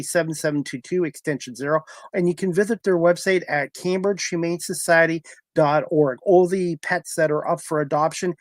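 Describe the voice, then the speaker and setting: A man's voice: on the high side, no echo. A man hosting a talk show, reading sponsor announcements conversationally with occasional stumbles. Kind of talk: live sponsor read, conversational.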